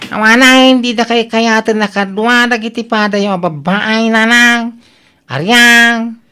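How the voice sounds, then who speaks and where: A woman's voice: fairly high pitch, measured pace, pushed and bright, clean tone, soft background music. A woman playing an outspoken relative in an Ilocano radio-style comedy drama. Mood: complaining.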